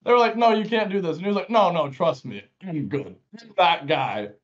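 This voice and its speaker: A man's voice: a little high, fairly quick, dull audio. A young man from a small town bantering on a podcast, joking, interrupting and prompting his co-host with questions. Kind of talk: casual banter.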